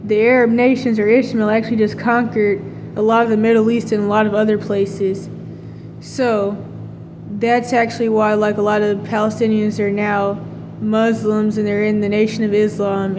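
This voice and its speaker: A woman's voice: medium pitch, flat delivery, moderate pace, noisy background. A woman talking in a casual, rambling monologue, full of fillers and restarts. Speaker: vlogger.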